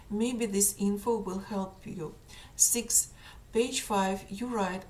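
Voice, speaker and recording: A woman with a strong Russian accent and Russian as her native language, reading out a numbered list of objections in a halting, methodical and pointed way, with many fillers. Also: mid-range voice, darkish tone, distant mic. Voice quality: halting, many fillers, careful deliberate phrasing. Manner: methodical, pointed, slightly sarcastic. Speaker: complainant reading a list of errors.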